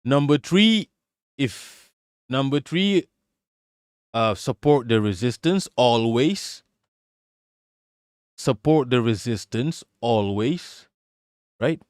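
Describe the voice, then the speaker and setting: A man's voice: mid-range voice, expressive, slow pace, pauses often, a little mellow, clear, resonant tone, dry recording, clean studio sound. A man using a put-on American accent as a livestream political commentator, reading aloud and then ranting. He is emphatic and profane, and he often stutters and repeats words.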